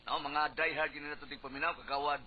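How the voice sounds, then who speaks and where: A man with a Cebuano accent talks casually as a radio host. His voice sits high for a man. The sound is dull and lo-fi, with a faint layer of noise behind him. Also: fairly even intonation, fast talker. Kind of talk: casual radio banter and listener advice.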